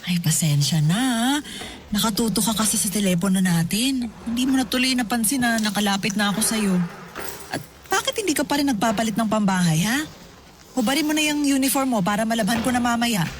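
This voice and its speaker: A middle-aged woman playing a warm, teasing mother in a radio drama, chatty and affectionate with her son. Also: fairly high pitch, lively intonation, a little fast, noisy background, lightly acted. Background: music.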